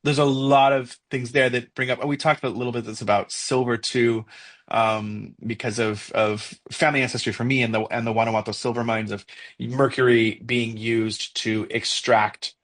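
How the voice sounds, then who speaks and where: A young man with a Mexican accent, co-hosting a casual podcast discussion, talking in a halting way with many fillers and restarts as he thinks aloud. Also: medium pitch, flat delivery, a bit bright. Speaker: podcast co-host.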